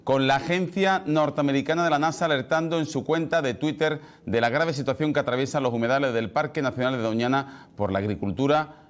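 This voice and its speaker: A male television news presenter with an Andalusian accent, reading scripted headlines in a measured, emphatic way. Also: medium pitch.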